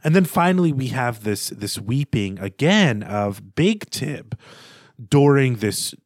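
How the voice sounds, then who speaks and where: A man co-hosting a book podcast, analysing fiction in a thoughtful, measured way as he searches for his words. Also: mid-range voice, very animated, dry recording, clean studio sound. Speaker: podcast co-host. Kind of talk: literary analysis in conversation.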